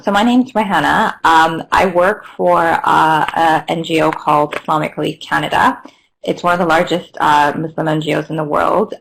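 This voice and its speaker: A woman from Barbados speaks in a low voice with a gravelly edge of vocal fry. She gives a friendly, fluent self-introduction over a video call. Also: a bit bright, poor audio. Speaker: NGO media and government relations manager.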